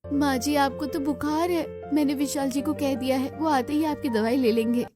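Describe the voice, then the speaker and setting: Dutiful young wife for cartoon drama, gentle, caring, higher register, played by a woman. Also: steady tone, normal speed, music in the background, close to an everyday voice. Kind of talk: Hindi cartoon story dialogue.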